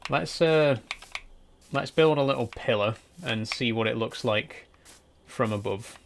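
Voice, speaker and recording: A man's voice: mid-range voice, measured pace, vocal fry, a little hiss or hum. A man in his twenties streaming a building game, chatting casually and answering viewers' messages as he plays. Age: 20s. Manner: relaxed, rambling, answers chat messages. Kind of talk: casual live-stream chat while playing.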